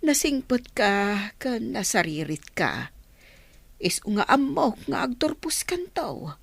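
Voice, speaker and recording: A woman's voice: a little high, sing-song, slow, gravelly, breathy edge, no echo, exaggerated voice. A woman playing an encouraging, expectant aunt in a radio drama, speaking with an Ilocano accent.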